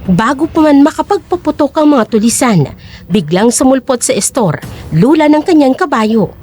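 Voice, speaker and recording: Female storyteller, high voice with lively swoops, dramatic scripted narration. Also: normal speed, husky.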